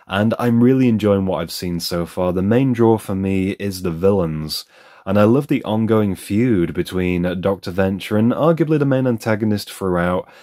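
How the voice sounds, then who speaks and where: A man with a notably low voice talks through an unboxing in a relaxed, conversational voice-over. He is candid and opinionated, weighing pros and cons as he goes. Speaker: reviewer.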